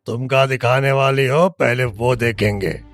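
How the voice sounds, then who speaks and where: An actor playing a drunken, menacing, lecherous middle-aged husband in an audio drama, with an eastern Hindi heartland accent and a gravelly, slurred drawl. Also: male voice, mid-range voice, fairly even intonation, a little slow, faint music behind, close to an everyday voice.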